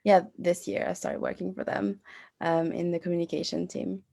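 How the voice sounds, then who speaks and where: A woman's voice: on the low side, flat delivery, normal speed, creaky, dark tone. A woman from France, a guest speaking hesitantly with many fillers on a video call.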